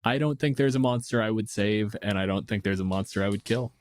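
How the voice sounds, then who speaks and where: A man co-hosting a podcast, talking casually in a wry, measured, opinionated manner. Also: fairly low pitch. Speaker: podcast host. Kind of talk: casual chat.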